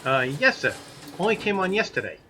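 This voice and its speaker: An actor playing an eager, deferential new elevator operator in an old radio drama. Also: male voice, sits high, big pitch swings, fairly quick, sharp tone, heavily acted. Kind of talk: 1940s radio drama acting. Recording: very noisy old radio recording, clicks.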